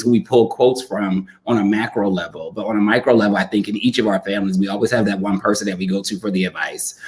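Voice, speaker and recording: Male author on a discussion panel, lower-pitched voice, earnest and reflective, talking conversationally.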